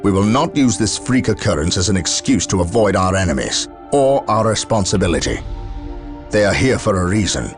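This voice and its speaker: An actor playing a stern, resolute armoured warrior captain in a video game, speaking in a formal, commanding way. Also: male voice, lowish voice, expressive, moderate pace, soft background music, natural voice. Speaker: military captain. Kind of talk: dramatic video game cutscene acting.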